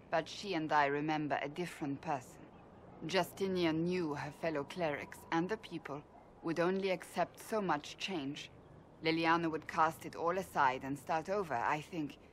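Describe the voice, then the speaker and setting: A woman playing a stern, devout warrior in a fantasy game, speaking formally and with measured, blunt earnestness. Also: deep voice, a little hiss or hum, close to an everyday voice. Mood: resolute. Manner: formal, measured, deliberate phrasing. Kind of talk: dramatic video game dialogue.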